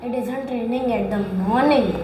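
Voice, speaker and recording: High-voiced woman student repeating sentences. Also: normal speed, reverberant. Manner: careful repetition with small slips.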